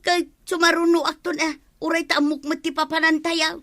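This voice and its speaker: A woman playing a feisty, argumentative village woman who is slow to pay her debts, in an Ilocano radio comedy drama. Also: very high-pitched, level tone, bright, forceful tone, no room sound, studio quality. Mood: brisk, excusing. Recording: music behind the voice.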